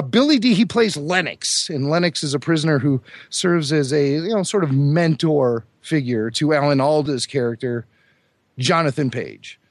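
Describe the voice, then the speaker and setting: Hoarse guy with a cold, podcast chat, voice jumps around a lot, dry sound. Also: a little high, no echo. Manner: chatty, enthusiastic, fond of trivia. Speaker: podcast co-host. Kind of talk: casual chat.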